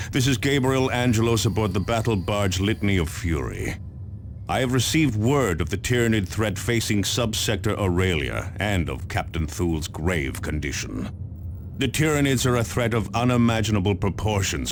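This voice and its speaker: A man's voice: deep voice, a little hiss or hum. A man playing a commanding, solemn space marine chapter master in a video game briefing, formal and weighty in delivery. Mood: grave, resolute. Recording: music behind the voice.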